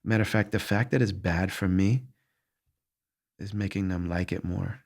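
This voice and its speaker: A man's voice: deep voice, normal speed, creaky. A middle-aged man hosting a podcast, talking candidly and earnestly about hard moments, often restarting his thoughts as he searches for words. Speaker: podcast host answering listener questions. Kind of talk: candid reflective monologue.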